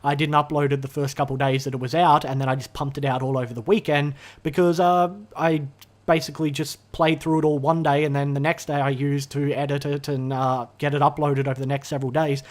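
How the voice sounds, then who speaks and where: Slightly hoarse, chatty man rambling. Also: on the high side, flat delivery, fairly quick. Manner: rambling, chatty, many fillers and self-corrections. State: slightly hoarse from being sick. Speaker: gaming YouTuber. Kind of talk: unscripted casual vlog ramble. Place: his own room, indoors. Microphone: talking to a camera.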